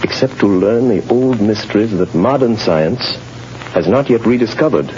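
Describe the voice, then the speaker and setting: Actor as deep, suave gentleman crimefighter. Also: expressive, normal speed, natural voice. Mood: earnest, resolute. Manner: urbane, grave, theatrical. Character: suave, determined gentleman crimefighter with a secret identity. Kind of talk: 1930s radio drama acting. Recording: dull, noisy 1930s radio recording.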